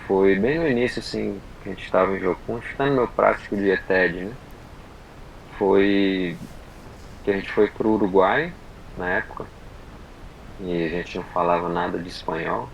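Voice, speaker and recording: A man from Brazil telling a personal story on a podcast, in unhurried, halting casual chat full of fillers and restarts. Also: deep voice, gravelly, slight background noise, poor audio. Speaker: podcast guest.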